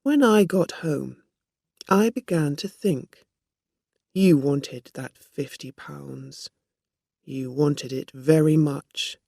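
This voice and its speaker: Storybook woman, performed by a narrator: shrewd, assertive, measured delivery, dry recording. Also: medium pitch, gravelly, mellow, no room sound. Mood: shrewd, confident. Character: Dorothy Burns, assertive accusing woman, shrewd and controlled.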